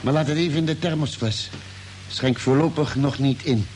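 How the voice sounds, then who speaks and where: A man playing a calm, caring spaceship doctor with a measured, deliberate way of explaining, in a vintage Dutch radio drama. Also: mid-range voice, lightly acted. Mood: calm, directing. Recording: noisy old radio drama recording.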